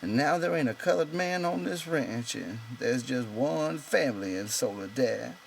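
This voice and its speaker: A man's voice: mid-range voice, expressive, normal speed, gravelly, slight background noise, voice pushed up. A man voicing a proud, bitter, lonely stable hand in an audiobook, talking in an insistent, rambling colloquial way. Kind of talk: character voice in an audiobook reading.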